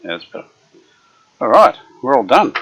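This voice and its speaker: A man restoring an old radio, chatting casually as he works, unhurried and full of fillers. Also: mid-range voice, big pitch swings, fairly bright tone, slightly rough. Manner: unhurried, thinking aloud with many fillers. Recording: dull lo-fi workshop recording.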